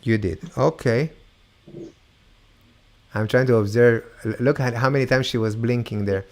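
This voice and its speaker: A man's voice: mid-range voice, darkish tone. Argentinian-accented male host over a video call, playful and teasing, stretches out the reveals. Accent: Argentinian with Hebrew influence. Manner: teasing, upbeat, draws out reveals slowly. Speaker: mentalist show host. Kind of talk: playful live video-call variety show.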